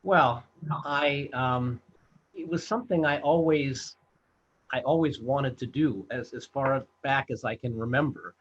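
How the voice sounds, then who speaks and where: A man in his sixties from the United States, a film director telling his story in an interview, halting with many fillers and restarts. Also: medium pitch, husky, highs cut off. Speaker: film director and producer, guest.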